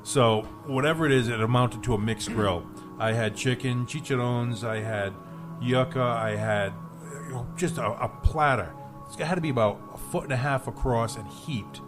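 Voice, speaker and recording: A man talks casually as a radio host, with clear music running underneath. He rambles through local restaurant reviews in a halting, self-deprecating, joking manner, at an ordinary middle pitch. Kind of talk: rambling casual studio chat. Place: radio studio. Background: music under the talk.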